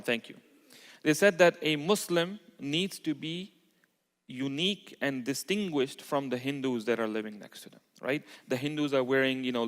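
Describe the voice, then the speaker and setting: A man giving an informal religious lecture to a congregation, explaining step by step and often checking in with short tag questions. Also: fairly high pitch. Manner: explanatory, checks in with "okay" and "right". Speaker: Islamic lecturer at a community mosque. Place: large reverberant indoor hall. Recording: echoey hall with long reverb.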